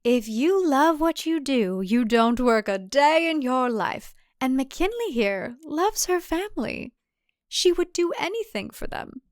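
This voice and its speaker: A woman's voice: high voice, sing-song, a little slow, no room sound, clean studio sound, voice pushed up. A woman voicing a saccharine, pushy female cult member in a thriller audiobook, syrupy sweet in manner.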